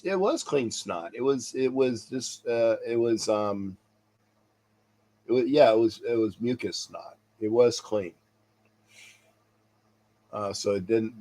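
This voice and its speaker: A man's voice: on the low side, mellow. A man hosting a sports talk livestream, stuffy and congested, rambling casually and repeating himself as he signs off to viewers. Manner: chuckles to himself. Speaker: sports talk show host.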